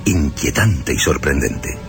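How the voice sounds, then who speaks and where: A male presenter speaks in a deep voice with very varied intonation. He is emphatic and theatrical, as in a scripted suspense radio show. Music runs clearly underneath. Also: expressive, slow.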